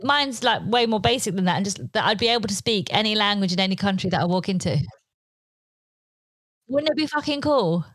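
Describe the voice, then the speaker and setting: A woman's voice: mid-range voice, lively intonation, a little fast, no echo. A woman of forty, a comedian and guest, talking frankly and a little ramblingly in a casual podcast chat, swearing and leaning on filler words. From Britain. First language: Polish. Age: 40s.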